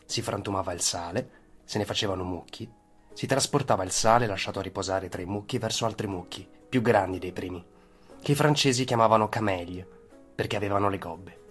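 A man tells a historical tale as a scripted dramatic narration. His voice sits low, with faint music running behind it. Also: fairly quick. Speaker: storyteller.

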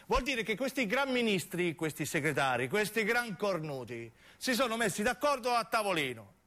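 An indignant townsman played by a male actor in a radio drama, with a southern Italian accent. He speaks in a raised voice with lively, swinging intonation. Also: sits high, moderate pace, pitched above the performer's own voice, full character voice. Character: indignant townsman spreading news of political betrayal.

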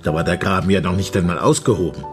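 An actor playing a sardonic middle-aged criminal judge with dry, teasing wordplay in a naturalistic radio drama. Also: male voice, low-pitched, fast, over music, close to an everyday voice. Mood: amused.

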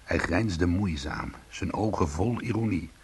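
A man playing a bitter, defiant ex-convict in a Dutch radio play, with a gravelly, husky voice and a sardonic manner. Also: sits low, unhurried, slight background noise. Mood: tense, confiding. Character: bitter, defiant male ex-convict trying to go straight. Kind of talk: Dutch radio drama dialogue and narration.

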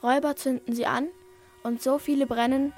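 Eerie high-voiced storyteller. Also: female voice, fairly even intonation, fairly quick, a little mellow, faint music behind. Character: eerie narrator of gruesome robber superstition. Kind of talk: scripted radio play narration.

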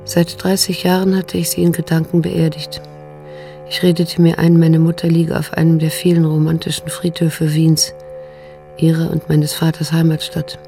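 An actress playing a troubled middle-aged daughter in a radio drama, narrating her inner thoughts in a hushed, brooding, bitter way. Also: sits low, monotone, moderate pace, creaky, faint music behind. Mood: haunted, brooding.

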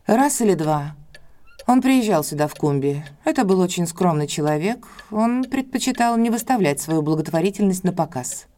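A woman's voice: lowish voice, expressive, moderate pace, mellow, natural voice. An actress playing a proud, guarded, defensive woman in an audio drama, speaking formally and curtly. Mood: reserved. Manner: formal, curt, indignant.

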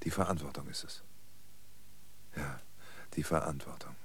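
An older actor playing a weary, mocking old fraud in a radio drama, halting and given to derisive laughter. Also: male voice, extremely low pitch, fairly bright tone, slightly rough, heavily acted. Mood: weary, reflective. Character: bitter, mocking old man, weary self-confessed fraud. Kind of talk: German radio drama acting.

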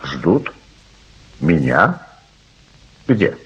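An actor playing an absent-minded, obsessive older uncle who stargazes, preoccupied and halting, repeating his words in radio drama style. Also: rumbling low, sing-song, creaky, fairly bright tone, heavily acted. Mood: brooding. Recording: dull, slightly noisy archive radio recording.

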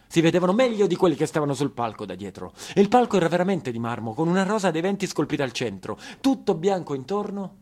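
A man's voice: fairly high pitch, a little mellow. A man narrating a scripted theatrical monologue as a stage actor, in a dramatic, emphatic, declamatory manner.

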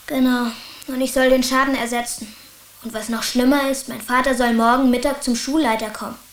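High boyish voice, female actor as troubled plucky schoolboy, matter-of-fact, colloquial, radio drama, roomy sound. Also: fairly even intonation, fairly quick, natural voice. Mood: glum resignation. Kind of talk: German radio drama acting.

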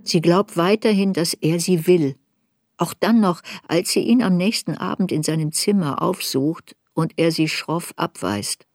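A woman's voice: on the low side, measured pace, a little mellow, no echo, clean studio sound. A woman playing a reflective narrator who looks back on a girl's story in a literary radio play. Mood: sober, intense.

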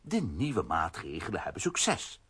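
A man's voice: low voice, wildly swooping pitch, a little slow. A man narrating a scripted children's audio drama as storyteller.

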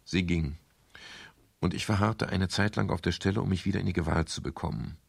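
Radio noir private eye: deep, husky, dry and wry, world-weary. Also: male voice, steady tone, brisk, no room sound, full character voice. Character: world-weary male private detective narrating his case. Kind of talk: 1970s German radio crime drama, first-person narration and dialogue.

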